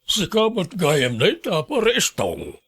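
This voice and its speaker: A man voicing a kindly, generous older pensioner friend in a radio drama, gentle and sympathetic. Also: high-pitched, wildly swooping pitch, a little slow, husky, no echo, voice pushed down, full character voice. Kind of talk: Ilocano radio drama acting.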